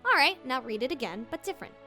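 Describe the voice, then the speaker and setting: A woman's voice: high-pitched, very animated, quick pace, pushed and bright, soft background music. A woman hosting a scripted, playful tutorial as a voice actor, with theatrical emphasis. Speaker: voice actor and video host.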